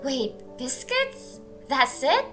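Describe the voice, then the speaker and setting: Woman acting high-pitched, anxious young girl. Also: big pitch swings, takes it slowly, bright, forceful tone, faint music behind, exaggerated voice. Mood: concerned. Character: anxious, caring teenage girl, worried friend of refugee. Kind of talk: amateur radio drama acting.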